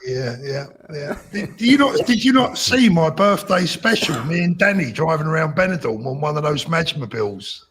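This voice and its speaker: A man with a husky voice, hosting a casual, jokey live-stream chat in a rambling way. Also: a little high, sing-song, normal speed, few pauses, a crowd in the background. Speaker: host.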